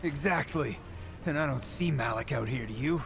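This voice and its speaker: A man's voice: medium pitch, normal speed, vocal fry, close to an everyday voice. An actor playing a jittery, frightened pirate crewman in a video game. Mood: nervous. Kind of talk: dramatic video game acting. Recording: music under the voice.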